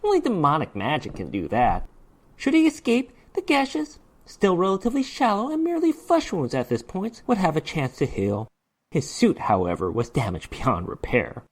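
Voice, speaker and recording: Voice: mid-range voice, wildly swooping pitch, moderate pace. A narrator giving a dramatic scripted reading of a short story.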